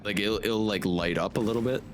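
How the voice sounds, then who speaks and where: A man's voice: lowish voice, fairly quick. A man livestreaming a video game, chatting in a casual, laid-back and sarcastic way with some swearing. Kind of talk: casual gaming commentary with swearing. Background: game music.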